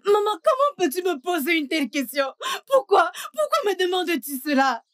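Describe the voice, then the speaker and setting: A woman's voice: very high voice, very animated, moderate pace, fairly bright tone, exaggerated voice. An actress dubbing a hurt young wife who pleads tearfully with her mother. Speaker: daughter, wife. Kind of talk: dubbed film acting.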